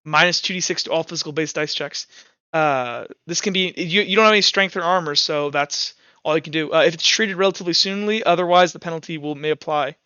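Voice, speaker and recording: High, bright-voiced male game master. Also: smooth, no room sound. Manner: halting, repeats and restarts phrases. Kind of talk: improvised tabletop game narration and rules talk. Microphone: voice call over Discord. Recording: dull, lo-fi online voice chat.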